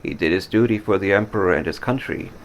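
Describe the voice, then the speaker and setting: A man reading a war story, playing a stern, fatalistic Japanese army captain who commands gravely. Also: sits low, quick pace, a little hiss or hum, natural voice. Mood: grim pride. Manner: grave, barking orders.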